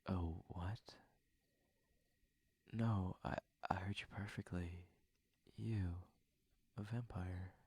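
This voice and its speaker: A shy, well-mannered prince, acted by a man for an intimate ASMR roleplay. His voice is low and breathy with a creaky edge, often sinking into a whisper. He speaks gently and formally, hesitating in a flustered, polite way. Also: fairly even intonation, very slow, pauses often, no room sound, full character voice. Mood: surprised, hesitant. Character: courteous, shy, flustered young prince at a masquerade.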